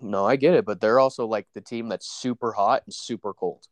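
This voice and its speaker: A relaxed young man with a congested, stuffy nose talks sports with a friend in a casual, easygoing way. His voice sits a little low, and the recording is dry with no room echo. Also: fairly quick. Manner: laid-back, says "dude" and "bro" a lot. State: stuffy from allergies.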